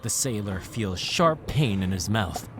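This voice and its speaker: An actor playing a young man telling a scary story, narrating in a hushed, deliberate, suspenseful way over music. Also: lowish voice, expressive, noisy background. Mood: ominous. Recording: music under the voice.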